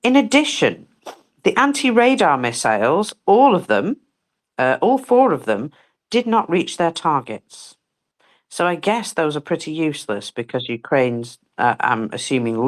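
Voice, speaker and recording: A woman with a very low voice reads out numbers in a casual live commentary. She talks bluntly and swears freely, with chatty asides along the way. Her voice is going hoarse as she loses it. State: losing her voice. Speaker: co-host reading daily war figures.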